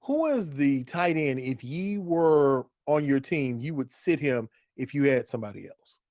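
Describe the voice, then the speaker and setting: A man's voice: mid-range voice, lively intonation, unhurried, darkish tone, dry recording. A man hosting a casual sports chat in a colloquial, conversational way, repeating phrases for emphasis. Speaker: fantasy football podcast host. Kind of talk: casual sports chat, steering segments and questions.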